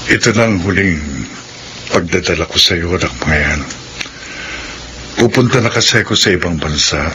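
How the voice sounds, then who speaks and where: Aktor bilang malungkot na manliligaw, mababang boses. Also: male voice, low-pitched, normal speed, noisy background, lightly acted. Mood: wistful, resigned. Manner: earnest, wistful. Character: gentle, resigned rejected suitor bidding farewell. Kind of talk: Filipino radio drama acting.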